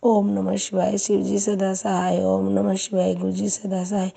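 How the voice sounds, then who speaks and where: A hoarse woman sharing a devotional testimony, thanking and pleading with her guru between chants. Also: mid-range voice, monotone, a little slow, warm tone. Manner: tearful pleading, repeated thanks.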